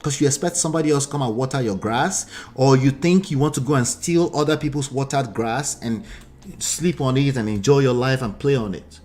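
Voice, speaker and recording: A man's voice: mid-range voice, a little fast, darkish tone. A man in his forties with a Nigerian accent, reading viewer comments aloud and answering them with blunt rhetorical questions. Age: 40s. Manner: emphatic, repeats points, asks pointed rhetorical questions. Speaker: relationship commentary host.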